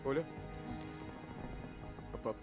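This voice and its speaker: An actor playing an affectionate, excitable young Soviet soldier in a game cutscene, with a Russian accent and an earnest, self-deprecating manner. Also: male voice, slow, over music, lightly acted. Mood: frantic. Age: young adult. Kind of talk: video game cutscene acting.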